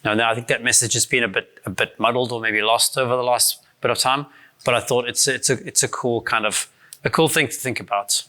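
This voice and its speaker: A man in his forties from South Africa, with a South African accent softened by years abroad, giving a casual conference talk with many fillers and restarts. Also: mid-range voice, steady tone, a little fast. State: voice strained from shouting the night before. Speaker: conference speaker. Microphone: handheld or stand mic.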